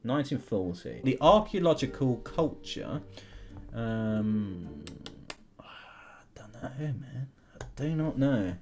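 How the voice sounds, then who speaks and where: Animated man thinking aloud over a game. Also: mid-range voice, slow pace. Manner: thinks aloud in questions, chatty asides to viewers. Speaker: YouTube gamer host. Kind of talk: casual commentary while playing an online history quiz game.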